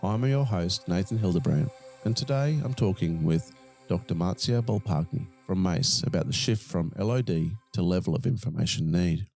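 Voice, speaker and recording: A man's voice: low-pitched, moderate pace, gravelly, a little mellow, soft background music. A man hosting a podcast, introducing his guest and asking long, rambling questions. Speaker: podcast host.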